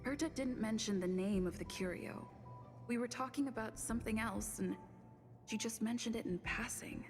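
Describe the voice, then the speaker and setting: A woman's voice: fairly high pitch, normal speed, faint music behind, lightly acted. An actress playing an earnest, composed young woman in a video game, explaining herself firmly. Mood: defensive. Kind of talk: scripted video game voice acting.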